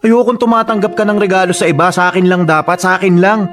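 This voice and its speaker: High-voiced, possessive young husband. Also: male voice, fairly even intonation, quick pace, fairly bright tone. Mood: angry, bossy. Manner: demanding, argumentative. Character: possessive, controlling, quick-tempered young husband. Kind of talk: Tagalog radio drama acting.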